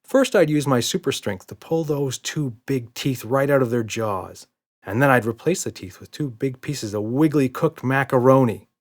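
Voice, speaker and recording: A man's voice: mid-range voice, moderate pace, pitched above the performer's own voice, lightly acted. A man voicing a patient, reassuring, playful father in a children's storybook, indulgent and mock-serious.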